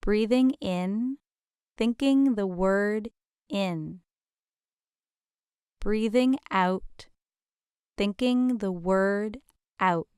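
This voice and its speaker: Female meditation guide: gentle, soothing, very measured delivery, close dry sound. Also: medium pitch, smooth, no room sound. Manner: gentle, soothing, invitational, with deliberate pauses.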